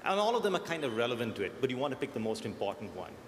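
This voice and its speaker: A man's voice: mid-range voice, sing-song, very fast. A man with an accent that is part American and part Indian, speaking thoughtfully on a conference panel and restarting his phrases. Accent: mixed American and Indian. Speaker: search engineer panelist. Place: large conference hall. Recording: echoey conference hall, faint noise.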